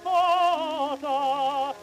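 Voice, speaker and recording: A woman's voice: sits high, steady tone, very slow, music in the background. A woman singing a sentimental ballad in an operatic style. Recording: song with musical accompaniment.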